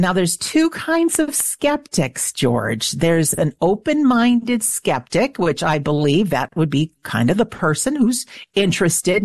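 A woman's voice: on the low side, no room sound. A middle-aged woman speaking earnestly and emphatically as a guest in a conversational radio interview, telling personal stories at length. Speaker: interview guest, afterlife show host and author.

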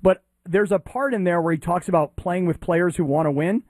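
A fairly high-pitched man co-hosting sports radio makes his points bluntly and with emphasis. His voice sounds dry and close to the mic. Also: brisk, a little mellow, dry recording. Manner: blunt, emphatic, crude asides. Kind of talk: morning sports radio debate.

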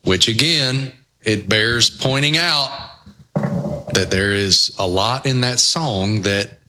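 A man's voice: mid-range voice, takes it slowly, noisy background. A man with a Southwest Virginia accent, hosting a casual sports talk show and telling folksy stories. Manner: storytelling, folksy and animated. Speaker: host.

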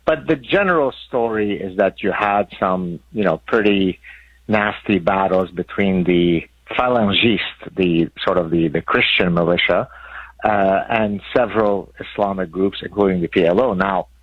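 Lebanese-born man in his sixties, low husky voice. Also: moderate pace, no echo, muffled recording. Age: 60s. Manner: articulate, lecturing explanations. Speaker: guest author, professor. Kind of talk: radio interview.

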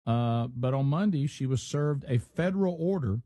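A man's voice: medium pitch, lively intonation, normal speed, mellow, no echo, clean studio sound. A man hosting a talk radio show, reading out a news story and adding his own asides in casual banter. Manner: reads news aloud with asides.

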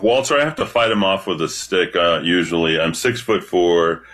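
A man with a deep voice calling into a radio show, talking confidently and animatedly. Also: moderate pace, a bit bright. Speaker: radio caller. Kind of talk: casual radio talk.

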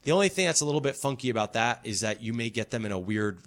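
A man's voice: mid-range voice, lively intonation, fast. A middle-aged man hosting a call-in tech radio show, explaining things step by step in a friendly way. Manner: friendly, explanatory, walks through steps.

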